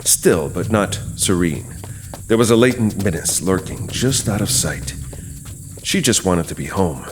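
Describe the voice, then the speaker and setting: A man with a low voice reads dramatic horror narration from a script. He serves as the storyteller, and noticeable night-time sound effects sit behind him. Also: lively intonation, unhurried. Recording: clear background noise, night sound effects.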